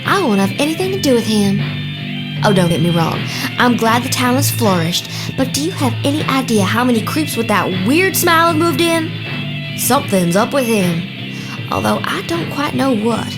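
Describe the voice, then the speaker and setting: Scornful small-town woman: brusque, dismissive, very lively pitch, game cutscene, loud guitar music behind. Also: moderate pace. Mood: wary, scornful. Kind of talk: animated game cutscene acting.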